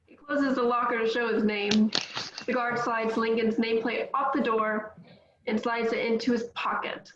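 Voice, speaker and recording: A woman reading the stage directions aloud at a table read, in a brisk, matter-of-fact way. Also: on the high side, little pitch movement, reverberant, highs cut off, background noise.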